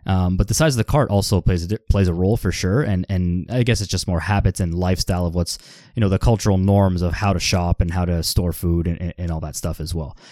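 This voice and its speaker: A man's voice: low voice, quick pace, dark tone, dry recording, studio quality. A man hosting a podcast, asking questions in easy conversation, often repeating words as he gathers his thoughts. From Canada. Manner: repeats words while thinking, laughs along. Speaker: podcast host. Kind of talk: casual interview hosting.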